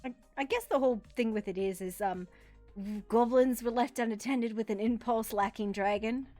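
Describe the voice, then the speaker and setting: A woman's voice: fairly high pitch, normal speed, darkish tone, faint music behind. A woman playing a tabletop role-playing game, chatting casually in character, playful and swearing freely.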